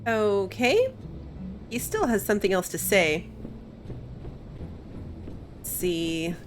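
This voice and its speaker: A woman's voice: mid-range voice, huge pitch swings, takes it slowly, a little hiss or hum. A woman hosting a gaming video with casual, amused running commentary. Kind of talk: casual let's play commentary.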